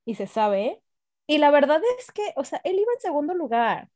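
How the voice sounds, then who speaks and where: Woman, young adult, excitable, gushing, casual podcast co-host, dry recording. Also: high voice, normal speed, no room sound. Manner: excitable, gushing fan talk. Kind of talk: casual chat about racing.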